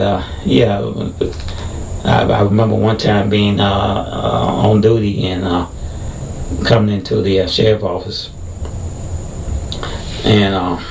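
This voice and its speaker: A middle-aged man with a very deep, heavy voice, gravelly at the edges, talks through a casual commentary, stopping and restarting often with lots of uhs. He sits a bit back from the mic in a roomy space, with faint ambience behind him. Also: far from the mic, background noise. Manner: halting, many fillers and restarts. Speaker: former deputy, channel host.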